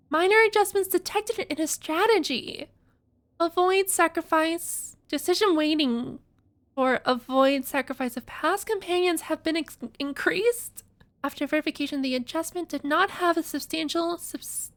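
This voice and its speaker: Casting: female game streamer, extremely high voice, conversational, theorizing and reading aloud as she reacts. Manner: thinking out loud, conversational.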